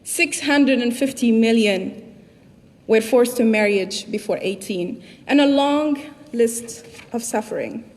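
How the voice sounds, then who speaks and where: A woman's voice: a little high, takes it slowly, darkish tone. A young woman from Tunisia with a Tunisian accent gives a motivational speech, addressing her audience directly. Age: young adult. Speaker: keynote speaker at a youth summit. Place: large indoor hall at a summit. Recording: echoey hall, long reverb, background noise.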